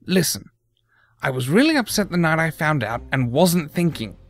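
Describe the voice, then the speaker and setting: Man playing an indignant, higher-voiced male griffin. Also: very animated, moderate pace, creaky, no echo, clean studio sound. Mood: pleading, firm. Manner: defensive, explanatory. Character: indignant, defensive male griffin gem-mine heir. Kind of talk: audio drama acting.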